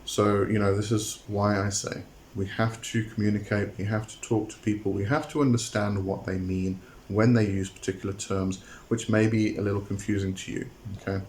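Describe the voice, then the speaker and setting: Man, deep voice, monotone, hesitant, lots of ums, explaining to viewers. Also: normal speed, slight background noise. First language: not a Greek speaker. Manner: hesitant, many ums and repeated words. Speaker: occult channel host. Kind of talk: explanatory monologue to camera.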